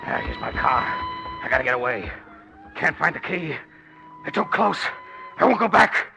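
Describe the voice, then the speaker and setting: A man's voice: high voice, huge pitch swings, normal speed, vocal fry, muffled recording, full character voice. An actor playing a tough, brutal gangster gunman in a 1940s radio crime drama. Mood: panicked. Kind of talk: 1940s radio melodrama acting. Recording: noisy old 1940s radio broadcast.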